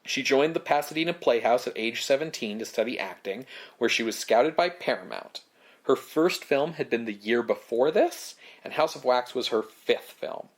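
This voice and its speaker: A man presents film history as a podcast co-host. His pitch sits in the middle range for a male voice. He explains things in measured, short phrases, reading prepared notes and slipping in casual asides. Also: expressive.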